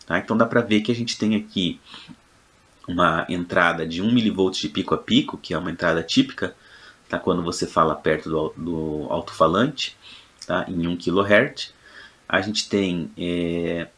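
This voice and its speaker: A man's voice: low voice, steady tone, moderate pace, fairly bright tone. A Brazilian man giving an informal technical tutorial as a lecturer, explaining in a hesitant way with frequent check-in tags. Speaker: electronics lecturer. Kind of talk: informal technical tutorial narration over a demonstration.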